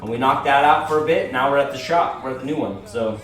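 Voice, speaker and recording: A man in his forties hosting a casual round-table chat in a car shop, upbeat and quick to laugh. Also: mid-range voice, fairly bright tone, soft background music. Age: 40s. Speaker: shop owner and stream host. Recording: roomy room, mic far off, faint ambience.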